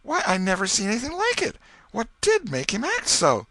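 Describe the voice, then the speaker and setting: A man's voice: sits high, huge pitch swings, moderate pace, no room sound, voice pushed up, full character voice. A man doing a stern old aunt. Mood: scolding. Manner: sharp scolding, old-fashioned country speech. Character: stern old aunt, scolding but soft-hearted.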